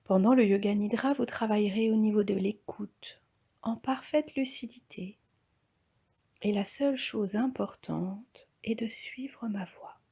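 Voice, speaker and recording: Female meditation guide, very breathy and near-whispered, unhurried, calm instruction for deep relaxation. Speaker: yoga nidra instructor.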